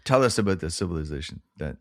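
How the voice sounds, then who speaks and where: A man co-hosting a podcast, speaking casually in a halting, thoughtful way. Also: low voice, lively intonation, dry recording, clean studio sound. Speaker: podcast co-host. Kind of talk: casual chat.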